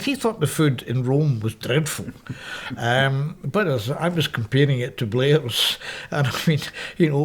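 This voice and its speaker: Older man, Scottish accent, reminiscing in an interview, wry, digressive, mid-pitched voice. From Scotland. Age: 70s. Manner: reflective, wry, digressive, with self-corrections. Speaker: interviewee, professor. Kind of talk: oral history interview, reminiscing. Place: parish house room, indoors.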